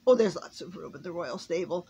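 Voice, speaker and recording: A woman voicing a gracious, girlish fairy queen in a storybook, polite and excitable. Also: on the low side, a little fast, slight background noise.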